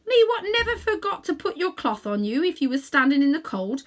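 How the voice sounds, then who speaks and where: A woman reader acts out a working-class cabbie man. He is a kindly, plain-spoken storybook fellow who talks in chatty, emphatic bursts, high in pitch and very animated. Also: brisk. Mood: hurt, indignant. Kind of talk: children's storybook character reading.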